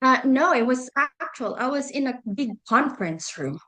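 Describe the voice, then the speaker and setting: A woman's voice: sits high, lively intonation, normal speed, bright, forceful tone. A middle-aged woman with a Filipino accent, speaking as an interview guest and telling her personal story in a conversational way. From Philippines. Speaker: podcast guest, energy healer and life coach.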